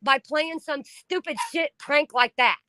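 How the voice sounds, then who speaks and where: A middle-aged woman talking on a home stream, loud and blunt, swearing freely, her voice climbing when she gets upset. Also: high-pitched, a little slow, dry recording. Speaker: stream co-host. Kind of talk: emotional casual chat.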